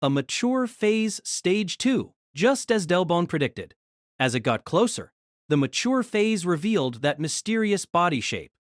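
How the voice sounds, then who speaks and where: Man, fantasy audio drama narrator, expressive swooping intonation, dry close sound. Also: high voice, measured pace, dry recording. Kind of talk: scripted story narration.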